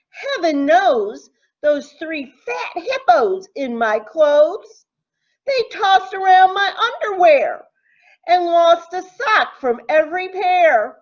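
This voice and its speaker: Lady teacher reading kids a rhyming story, really slow n sing-songy, highish voice, kinda dull lo-fi audio. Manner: deliberate, animated, sing-song phrasing. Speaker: teacher reading aloud to children. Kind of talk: expressive storybook read-aloud in rhyme.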